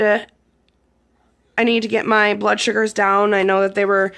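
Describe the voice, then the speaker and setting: A hoarse woman, around her thirties, chatting casually with a lot of ums while showing her journal pages. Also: medium pitch, fairly bright tone. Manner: rambling, chatty, with frequent ums. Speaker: YouTube vlogger. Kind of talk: casual journal flip-through.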